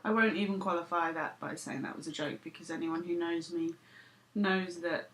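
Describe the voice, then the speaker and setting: A woman's voice: lowish voice, steady tone, normal speed, a bit bright. A woman, vlogging to camera in a dry, self-deprecating, sarcastic way. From United Kingdom. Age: 30s. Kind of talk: casual talking to camera.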